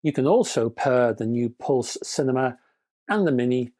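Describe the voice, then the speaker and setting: Guy doing a hi-fi news roundup, mid-pitched, dry wry jokes between specs, chatty with the folks watching. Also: expressive, normal speed, dark tone. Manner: dry, wry humor between spec readouts; chatty, addresses viewers as folks. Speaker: hi-fi news channel host. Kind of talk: scripted news roundup with asides.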